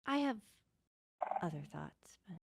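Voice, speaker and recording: A woman playing an inquisitive, easily flustered court healer in an improvised game roleplay, halting as she thinks aloud. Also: on the low side, sing-song, vocal fry, noisy background, heavily acted. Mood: hesitant, thoughtful.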